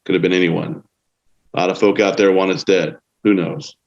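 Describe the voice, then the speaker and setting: A man reading a tough, steady Texas Ranger in his fifties at a screenplay table read, speaking calmly and reassuringly. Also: moderate pace, gravelly. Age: 50s. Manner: calm, low, reassuring. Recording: dull, lo-fi sound.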